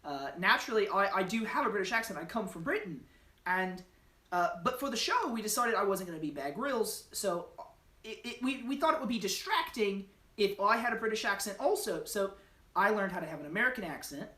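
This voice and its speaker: A woman co-hosting a mock-serious joke interview, talking deadpan and halting, with fillers and restarts. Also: medium pitch. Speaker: channel co-host. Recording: roomy, distant mic.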